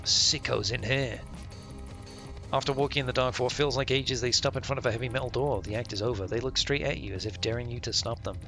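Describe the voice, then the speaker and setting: A man with a bright, forward voice at a medium pitch streams a text-heavy game. He moves between reading narration aloud and casual, sweary, slangy commentary, with music playing behind him. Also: fast, creaky.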